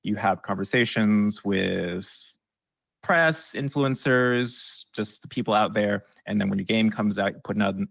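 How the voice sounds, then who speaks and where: A man's voice: on the low side, a little slow, gravelly, a bit bright, dry recording. A man giving conversational, explanatory advice as an expert guest in an interview. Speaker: guest expert on game trailers.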